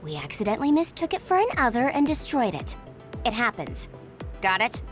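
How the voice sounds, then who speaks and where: Casting: easygoing, slightly lazy female soldier in an anime game; highish pitch, very animated, music underneath. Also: fairly quick. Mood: nonchalant. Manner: casual, nonchalant. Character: laid-back, lazy but caring senior woman soldier, anime. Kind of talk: English anime game voice acting. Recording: music behind the voice.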